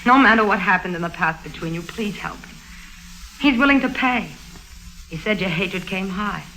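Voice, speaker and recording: An actress in a 1950s radio drama playing a loyal secretary, prim, earnest and formal. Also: female voice, medium pitch, animated, moderate pace, pushed and bright. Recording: noisy old 1950s radio recording.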